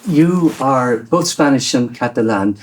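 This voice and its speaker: A man's voice: mid-range voice, expressive. A man interviewing a writer, putting long, carefully built questions to her. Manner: measured, long multi-part questions. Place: lecture room at a university event.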